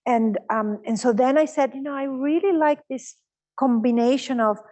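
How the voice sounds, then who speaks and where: A woman with a fairly high voice, raised in Honduras and a native Italian speaker, talks as the guest in a public lecture interview. She reflects aloud and sprinkles her answers with "you know.". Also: moderate pace, mellow. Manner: thoughtful, often says "you know". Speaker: guest scientist.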